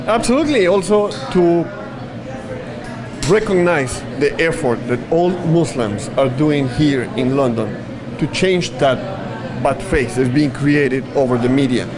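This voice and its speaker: Guy host doing street interviews, bit higher voice, crowd chatter all around. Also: unhurried. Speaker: show host. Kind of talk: on-location interview.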